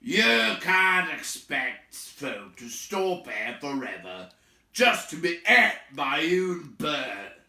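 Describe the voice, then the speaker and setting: A man's voice: a little high, very animated, roomy sound, pitched above the performer's own voice, full character voice. A man voicing a big, crude, grumpy troll who bellows in coarse rustic slang in a storybook reading. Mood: angry, indignant. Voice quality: forceful, bellowing, drawn-out. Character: big male troll William, crude, grumpy, suspicious bully.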